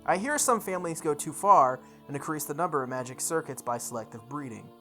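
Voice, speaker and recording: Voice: mid-range voice, expressive, a little fast, sharp tone. A video game playthrough host reading visual novel narration aloud in a dramatic manner. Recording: background music behind the voice. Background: game music.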